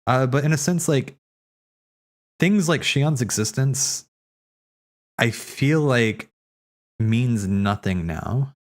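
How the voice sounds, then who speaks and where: A man's voice: mid-range voice, animated, slow pace, pauses often. A man streaming casual, rambling commentary, thinking aloud as he hosts, often repeating his words. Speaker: streamer hosting a watch party.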